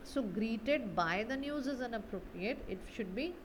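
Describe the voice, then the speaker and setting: A woman's voice: on the high side, expressive, measured pace, background noise. A woman English grammar teacher explaining exercise answers in a didactic way, often checking understanding with tag questions.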